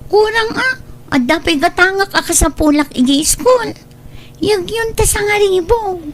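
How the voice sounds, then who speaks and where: Very high-pitched demanding Ilocano daughter. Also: female voice, measured pace. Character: demanding young student daughter. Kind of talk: Ilocano radio drama acting.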